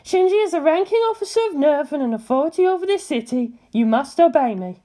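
A woman's voice: high voice, lively intonation, quick pace, dark tone, pitched above the performer's own voice. A woman voicing a bossy, exasperated young female officer who exclaims indignantly and is easily flustered.

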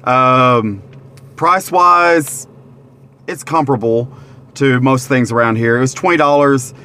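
A man's voice: medium pitch, a little slow, sharp tone, a little hiss or hum. A man, reviewing a meal to camera from inside a parked car in a rambling, easygoing way with lots of fillers. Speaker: vlogger, restaurant reviewer. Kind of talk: casual review talk to camera. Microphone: phone camera at arm's length.

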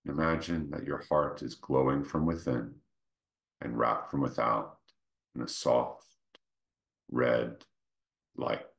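Very deep, creaky-voiced man guiding meditation. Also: little pitch movement. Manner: gentle, unhurried, phrases broken by pauses. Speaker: meditation guide. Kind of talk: guided meditation, slow soothing instruction.

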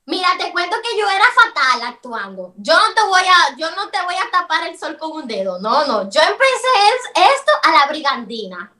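Casting: very high, bright-toned Dominican woman comedian, playful, heard from far off a call mic. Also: moderate pace, hardly any pauses. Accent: Dominican Cibao Spanish. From Dominican Republic, Cibao region. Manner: lively, joking, laughs at herself. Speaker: comedian, interview guest. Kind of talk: casual interview over video call. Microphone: video call.

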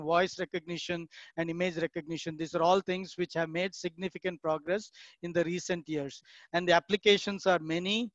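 A man giving an online keynote talk, measured and explanatory, with frequent "uh" pauses. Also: sits high, dry recording, muffled recording. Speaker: keynote speaker.

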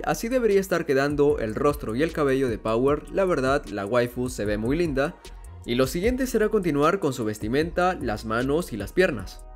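Lively male drawing tutor narrating. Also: fairly high pitch. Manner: calm instructional voice-over, lively intonation. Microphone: voice-over over hands-on drawing footage.

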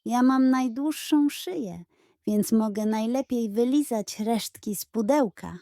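A woman's voice: fairly high pitch, measured pace, dark tone, no echo, studio quality. A woman voicing a self-important male goose in a children's audio story. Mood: boastful.

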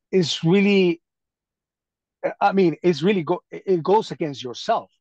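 A man from Venezuela talking casually on a group video call, haltingly, often repeating his phrases. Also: a little high, a little mellow, dry recording. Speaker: call participant. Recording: dull lo-fi call audio.

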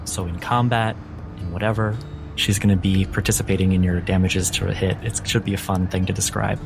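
A man as game master, narrating scenes in a measured, descriptive way with dramatic pauses. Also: sits low, little pitch movement, gravelly. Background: music.

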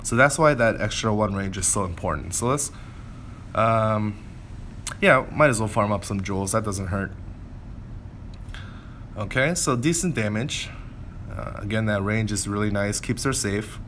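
A man with a fairly low voice talks through gameplay casually. He thinks aloud and explains things as he goes, over clearly audible background noise. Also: a little slow, pauses often, fairly bright tone. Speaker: gameplay commentator. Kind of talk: casual game commentary.

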